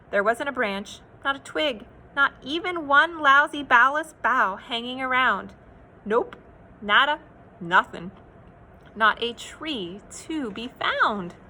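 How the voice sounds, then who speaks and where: A woman reading a children's picture book aloud in an expressive, playful, sing-song way, with asides to young listeners. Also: high-pitched. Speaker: children's read-aloud storyteller.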